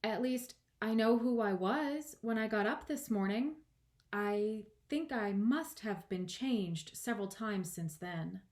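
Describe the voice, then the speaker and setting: A woman's voice: medium pitch, expressive, slow pace, darkish tone, pitched above the performer's own voice. A woman voicing a shy, polite young girl in a children's storybook, earnest and a little hesitant. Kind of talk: children's storybook read-aloud.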